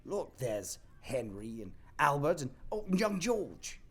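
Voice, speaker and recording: A woman playing a shaken old miser in an audio play, speaking in a halting, muttering way. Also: low-pitched, sing-song, breathy edge, heavily acted. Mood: wistful, excited.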